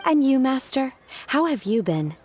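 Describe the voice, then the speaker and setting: A woman's voice: sits high, big pitch swings, measured pace, darkish tone, a little hiss or hum. An actress playing an earnest, polite young woman disciple in a video game, blunt and matter-of-fact. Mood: sincere.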